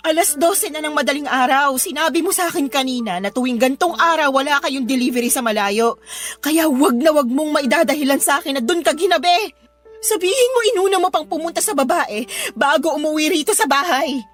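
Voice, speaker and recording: Woman acting a hurt, high-pitched Filipina wife. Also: fairly quick, close to an everyday voice. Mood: angry. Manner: tearful, accusing, emotional delivery. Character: aggrieved young wife and mother, suspicious, hurt, combative. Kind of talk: Filipino radio drama acting. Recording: music playing behind the voice.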